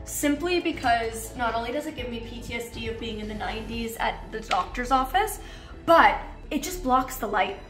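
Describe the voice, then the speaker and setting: Young woman vlogger, high bright voice, a bit nasal from a cold, bubbly and gushing, recorded in an echoey room. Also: animated, moderate pace, over music. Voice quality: nasal from recent illness. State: getting over a cold. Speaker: vlogger giving a house tour. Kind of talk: casual chatty house tour. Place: bright home interior, indoors.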